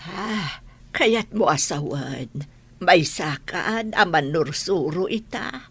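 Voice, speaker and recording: A woman's voice: on the low side, expressive, takes it slowly, slightly rough, full character voice. A woman with an Ilocano accent playing a forgetful, kindly elderly grandmother in a radio drama.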